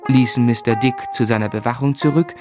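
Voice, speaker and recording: A reflective young husband as an actor plays him narrates a radio drama in a medium-pitched voice. He is tender, quiet and wistful, with music behind him. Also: fairly even intonation, a little fast, close to an everyday voice. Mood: wistful narration. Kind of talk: scripted first-person radio drama narration.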